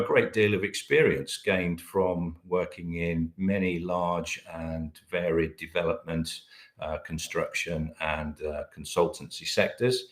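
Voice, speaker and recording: A man's voice: low voice, echoey room. A middle-aged British man giving a business webinar presentation, talking in a hesitant way with many filler sounds. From Britain. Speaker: webinar presenter, managing director.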